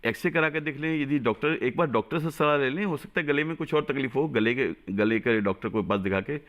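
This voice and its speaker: A man's voice: mid-range voice, fairly quick, fairly bright tone. Emphatic man giving medical advice. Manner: emphatic, repeats points. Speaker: doctor. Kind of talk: medical advice on a call-in show.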